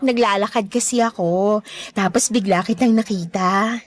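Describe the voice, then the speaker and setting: A woman's voice: mid-range voice, moderate pace, close to an everyday voice. A woman playing a whiny, spiteful young girlfriend villain in a Filipino radio drama, with a haughty, bratty delivery.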